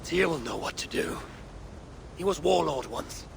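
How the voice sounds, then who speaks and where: An actor playing a proud, wrathful elder god-king in a video game, gravelly and grandly archaic in his speech. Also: fairly high pitch, lively intonation, a little slow, background noise, heavily acted. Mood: brooding. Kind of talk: dramatic video game acting.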